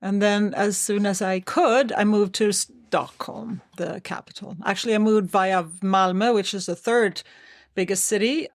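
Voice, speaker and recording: A woman's voice: mid-range voice, steady tone, a little slow. A woman from Sweden with a Swedish accent, a singer and vocal coach chatting as a guest with frequent restarts. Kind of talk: casual interview chat.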